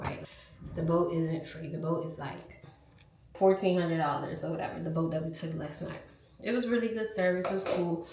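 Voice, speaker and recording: A woman's voice: on the low side, vocal fry, dark tone, reverberant. A young woman with a stuffed-up, nasal voice, chatting candidly to her phone camera in a travel vlog. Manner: candid, chatty, addresses viewers as y'all. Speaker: travel vlogger. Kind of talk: casual vlog chat to camera. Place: resort on vacation.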